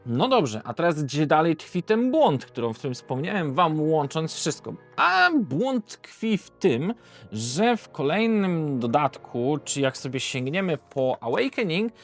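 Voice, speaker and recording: A man's voice: fairly high pitch, very animated, unhurried, faint music behind. A Polish man presenting an informal, unscripted board game video review, hesitating and often correcting himself as he goes.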